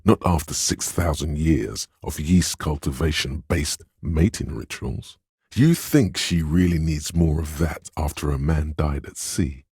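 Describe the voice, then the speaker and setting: An extremely low voice plays a coaxing inner voice for a video game. It is heavily husky and breathy, measured and knowing, as if advising a troubled cop from inside his head. The recording is close and dry. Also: a little mellow, dry recording, exaggerated voice. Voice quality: very deep, husky. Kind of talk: scripted second-person game narration.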